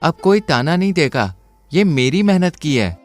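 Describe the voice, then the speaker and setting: A man's voice: on the high side, sing-song, normal speed, faint music behind. A man voicing a poor but proud boy in a cartoon story who speaks earnestly and with determination. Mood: determined.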